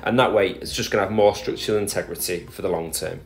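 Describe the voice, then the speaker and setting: A man's voice: deep voice, quick pace, vocal fry, soft background music. A man narrating a voice-over about his garden project, explaining his steps in a plain, methodical way with speech and small restarts. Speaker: garden DIY vlogger.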